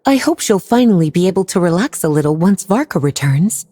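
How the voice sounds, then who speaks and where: A languid librarian woman in a game. Her mellow voice rises and dips with wry, stern poise, over faint noise. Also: lowish voice, big pitch swings, a little fast. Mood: wry, unhurried. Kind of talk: game voice acting.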